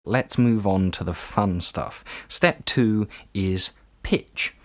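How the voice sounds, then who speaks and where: A man's voice: fairly low pitch, slow pace, no echo, clean studio sound. A man narrating an informal step-by-step tutorial as an audio tutor, in a conversational, explanatory way.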